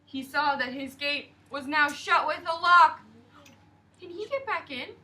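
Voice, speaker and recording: A young woman teacher reading a picture book aloud to a class in an expressive, sing-song way and asking questions. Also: sits high, measured pace, distant mic, slight background noise.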